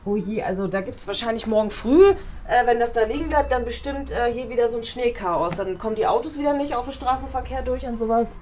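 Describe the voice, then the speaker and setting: A woman's voice: a little high, a little fast, few pauses, darkish tone, a little hiss or hum, natural voice. A young woman playing a sensible, matter-of-fact schoolgirl chatting in a comedy sketch. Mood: chatty. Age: young adult. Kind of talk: comedy sketch acting, casual chat. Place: indoors, small classroom.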